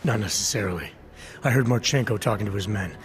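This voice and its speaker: A man voices a guarded cyborg agent in a video game, his low, clipped delivery rough at the edges. He speaks over a loud cutscene score. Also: quick pace, soft background music, natural voice.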